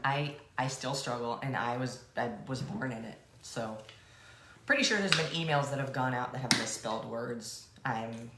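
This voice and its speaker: A woman's voice: extremely low pitch, lively intonation, normal speed, echoey room, background noise. A woman co-hosting a coaching show, chatting casually and rambling off on playful, self-deprecating tangents.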